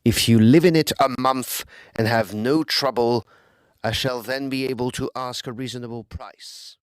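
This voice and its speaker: A man's voice: mid-range voice, fairly even intonation, normal speed, clean tone, close to an everyday voice. A man playing an evasive, nervous Edwardian house owner in an audio drama, guarded and formal. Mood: firm.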